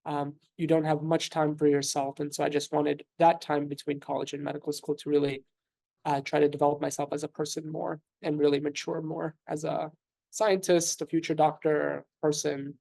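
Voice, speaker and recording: A man in his thirties from New York City whose first language is Russian, a physician guest answering interview questions in a thoughtful, measured way with frequent fillers and restarts. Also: fairly high pitch, flat delivery, vocal fry, darkish tone.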